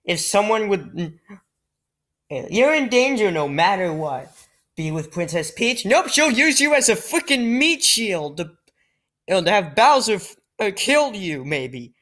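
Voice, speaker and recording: A woman's voice: mid-range voice, very animated, measured pace, fairly bright tone. A woman giving comedic, sarcastic commentary over gameplay, with exasperated quips. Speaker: let's play commentator.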